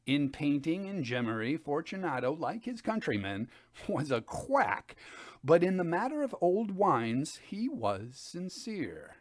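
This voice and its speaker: A man giving a dramatic reading of gothic fiction, with theatrical, deliberate phrasing. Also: fairly high pitch, sing-song, dry recording, clean studio sound. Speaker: storyteller reading stories by request.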